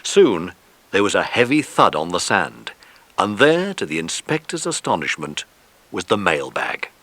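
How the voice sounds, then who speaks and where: A man's voice: sits low, sing-song, a little slow, a bit bright. A man narrating a scripted children's story. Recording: faintly noisy cassette transfer.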